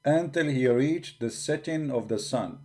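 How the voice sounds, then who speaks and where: A man's voice: medium pitch, measured pace, mellow. A man hosting a live stream, talking straight to his viewers in a mocking, combative way. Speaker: host of a live debate stream. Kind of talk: live-stream commentary and rebuttal.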